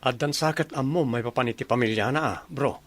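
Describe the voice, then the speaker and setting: A man's voice: mid-range voice, a little fast, close to an everyday voice. Man as teasing young soldier's pal, mid-range voice, radio drama dialogue. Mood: earnest.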